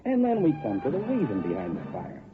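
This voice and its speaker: An actor playing a tough, sardonic private detective in an old-time radio drama, wry, methodical and slangy. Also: male voice, medium pitch, wildly swooping pitch, fast talker. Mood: accusing. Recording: tinny old radio recording, music behind.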